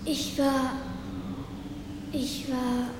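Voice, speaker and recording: A performer voicing a gentle, lonely little boy ghost, hesitant and wistful, in a German radio play. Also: high voice, little pitch movement, very slow. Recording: echoey hall reverb, faint noise.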